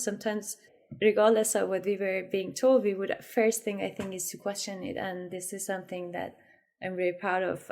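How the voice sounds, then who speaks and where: A woman's voice: mid-range voice, darkish tone. Here is a woman in her late twenties from Iran, speaking as an interview guest. She answers reflectively in a mid-range voice and often restarts her sentences. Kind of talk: conversational interview.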